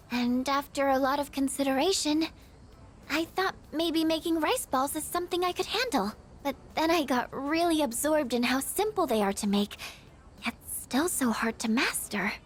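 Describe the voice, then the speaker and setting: High-voiced, sweet, shy schoolgirl, played by a woman. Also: slight background noise, lightly acted. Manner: gentle, hesitant, sincere. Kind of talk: video game voice acting.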